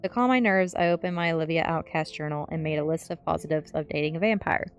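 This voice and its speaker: A woman narrating a teen story aloud in an expressive audiobook style. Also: low voice, fast, faint music behind. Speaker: streamer reading a story aloud.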